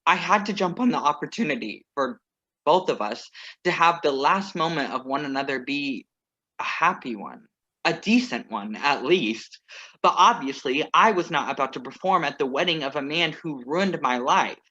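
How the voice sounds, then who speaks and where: A man's voice: a little high, animated, sharp tone. A man playing a wry, defiant ex-lover. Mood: earnest. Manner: measured, wry, earnest. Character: composed ex-lover crashing a wedding, wry and defiant. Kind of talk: staged play reading over video call. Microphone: video call. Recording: muffled video call audio.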